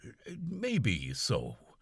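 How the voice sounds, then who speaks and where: A man's voice: mid-range voice, huge pitch swings, measured pace, a bit bright, breathy edge, no room sound, studio quality, voice pushed up, full character voice. A man voicing a cautious manservant, deferential and wheedling, in an audiobook. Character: cautious Irish male manservant. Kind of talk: audiobook character voice.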